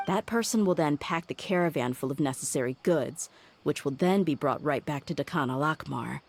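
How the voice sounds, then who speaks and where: A low-voiced, steady mercenary. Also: female voice, normal speed. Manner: thoughtful, reasons things out aloud. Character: tough young female mercenary, steady and loyal. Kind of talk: game cutscene voice acting.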